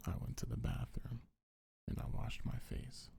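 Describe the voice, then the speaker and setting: Male, low-pitched, heavy vocal fry, soft half-whispered ASMR, very breathy and husky, gentle and reassuring. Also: completely flat delivery, moderate pace. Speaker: ASMR creator reading a comfort script.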